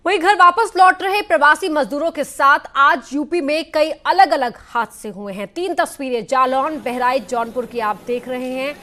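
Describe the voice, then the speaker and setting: A woman's voice: high voice, normal speed, hardly any pauses, sharp tone. A woman news anchor reading a scripted news bulletin.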